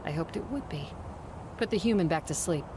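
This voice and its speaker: A woman playing a stoic, commanding vampire warrior in an audio drama, narrating in measured, formal phrases with controlled grief. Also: low voice, animated, noisy background. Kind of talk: first-person audio drama narration and dialogue.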